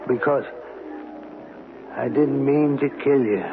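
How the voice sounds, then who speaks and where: Slow, halting, guilt-ridden man. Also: medium pitch, over music. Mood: guilty, pleading. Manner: halting, stammering, anguished. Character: lonely, guilt-ridden male killer, evasive and pleading. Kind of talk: 1970s radio drama acting. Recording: noisy, tinny old radio broadcast.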